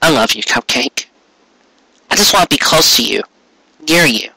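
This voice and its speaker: Gentle young male pony played by a storyteller, defeated, pleading, halting, audiobook drama, dry sound. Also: medium pitch, animated, creaky, slightly rough, dry recording, full character voice, low-quality recording. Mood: defeated, tender. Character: gentle, lovesick, defeated young stallion baker.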